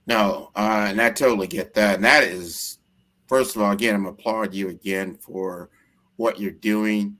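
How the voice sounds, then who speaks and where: A man from the United States with a fairly low voice, speaking conversationally as the host of an interview. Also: fairly even intonation, measured pace, pushed and bright. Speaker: show host.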